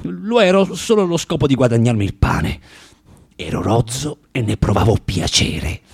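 A male actor playing a bitter, spiteful, tormented former clerk of about forty in a theatrical radio monologue, confessing with halting, emphatic delivery and dramatic pauses. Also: mid-range voice, lively intonation, creaky, breathy edge, dry recording, clean studio sound, full character voice. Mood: spiteful, gloating.

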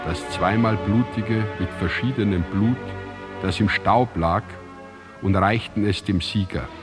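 An actor as the grave narrator of an ancient tragedy in a radio drama, reciting in a measured, solemn, declamatory way. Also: male voice, lowish voice, lightly acted. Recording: music under the voice. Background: music.

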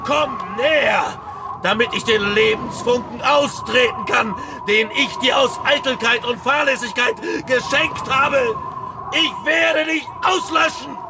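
An actor playing an enraged scientist confronting the creature he made, declaiming accusations forcefully in a radio play. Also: very high voice, measured pace. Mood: furious, threatening. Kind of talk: dramatic radio play acting. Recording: distant, reverberant hall, noisy background.

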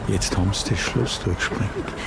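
A man's voice: on the low side, moderate pace, creaky, very noisy. An actor playing an aggressive, blustering man from a fringe group in a radio drama. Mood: agitated, threatening. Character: aggressive, threatening male member of a fringe group. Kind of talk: German-language radio drama acting.

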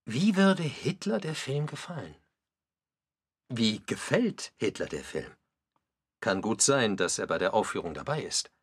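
A man's voice: lowish voice, very animated, a little slow, slightly rough, heavily acted. A man giving explanatory, musing commentary in a documentary radio play. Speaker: narrator and commentator.

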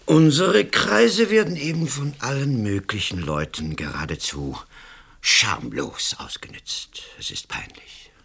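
An actor playing a stiff, dismayed male national councillor in a German radio play, husky and creaky, speaking haltingly and formally. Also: mid-range voice, expressive, fairly bright tone, full character voice. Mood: dismayed, embarrassed.

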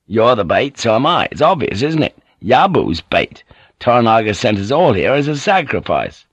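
A narrator acts out a male foreign sailor from a storybook, blunt and passionate. He probes insistently in a medium-pitched voice, sometimes with archaic phrasing. The sound is dry and a little dull. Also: big pitch swings, normal speed, slightly rough, no room sound. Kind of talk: audiobook character dialogue.

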